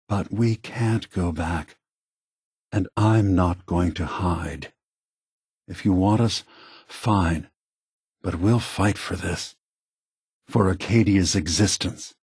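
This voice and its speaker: An actor playing an aging prototype android leader in a video game, a gentle, philosophical idealist with a soft, husky voice and measured, earnest phrasing. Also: male voice, sits low, dry recording, clean studio sound, exaggerated voice. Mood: firm, resolute. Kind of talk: acted video game dialogue.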